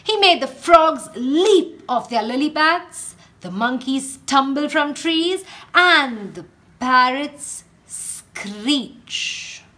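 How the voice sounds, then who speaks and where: A woman with an Indian English accent, a teacher reading a picture book aloud in a very slow, expressive storytelling way. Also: sits high.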